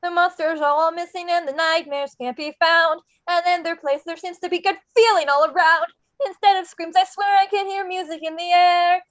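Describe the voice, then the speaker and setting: A woman acts out an excitable skeleton man full of wonder, pitched extremely high. The delivery is theatrical and rhythmic, like verse from a musical table read. The sound is dry and somewhat dull. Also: moderate pace, no echo. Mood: joyful. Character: Jack, excited wonderstruck male skeleton from a Halloween land.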